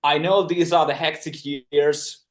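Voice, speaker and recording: A man's voice: on the high side, fairly even intonation, unhurried. A man from Finland with a Finnish accent, a musician guest on a podcast, speaking earnestly and intensely in English, often repeating words as he starts a sentence. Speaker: band member, guest. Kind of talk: podcast interview answers.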